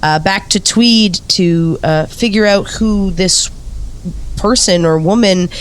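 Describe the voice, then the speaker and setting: A woman's voice: mid-range voice, slow, natural voice, poor audio. A woman playing an earnest, polite investigative journalist in a naturalistic audio play, speaking in a hesitant, friendly, eager way.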